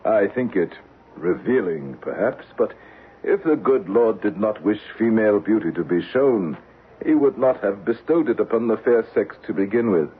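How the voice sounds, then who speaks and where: Deluded would-be knight for radio drama: low, animated delivery, courtly and solemn, tinny broadcast sound. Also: male voice, moderate pace, husky. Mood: courtly wonder. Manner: formal archaic speech, gallant, solemn. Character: courtly deluded man believing he is a medieval Scottish knight. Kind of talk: 1970s radio drama acting. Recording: tinny band-limited old radio broadcast.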